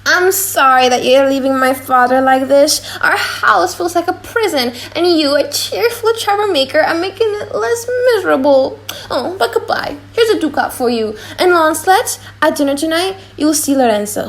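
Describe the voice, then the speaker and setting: Woman playing a wistful, high-voiced young daughter. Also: lively intonation, normal speed. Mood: wistful, secretive. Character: sad, secretive, affectionate young daughter. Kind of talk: audiobook drama reading.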